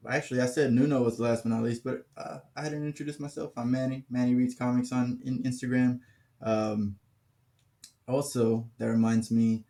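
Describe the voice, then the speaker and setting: A man's voice: medium pitch, dark tone. A man hosting a casual comics chat, laid-back and laughing easily, on a video call. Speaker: podcast host.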